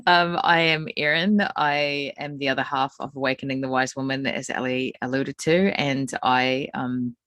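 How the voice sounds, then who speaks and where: A woman's voice: a bass voice, fairly even intonation, normal speed, few pauses, fairly bright tone, no echo. A woman with a New Zealand accent, a podcast guest, speaking in a modest, hesitant way with many ums. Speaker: psychic medium, podcast guest. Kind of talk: casual podcast conversation.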